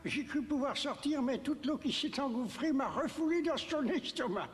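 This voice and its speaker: A man playing a gentle, loving old father in an animated film, with a tender, exclamatory, fatherly way of talking. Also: very high voice, normal speed. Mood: discouraged. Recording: long cavernous reverb, faint music.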